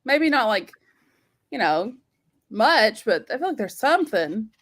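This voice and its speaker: A woman's voice: on the high side, very animated, measured pace, a bit bright. A woman co-hosting a casual book discussion on a live stream, informal and flippant in the way she talks. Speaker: co-host of a book readalong live show.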